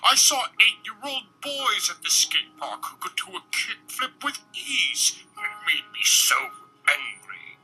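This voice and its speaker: A man voicing a prissy, aggrieved, entitled young man, reading diary entries in a pompous, drawn-out, mock-dramatic way with a breathy voice. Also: high voice, big pitch swings, bright, forceful tone, soft background music, full character voice, rough recording. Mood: wounded, bitter. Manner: pompous, affected, drawn-out delivery.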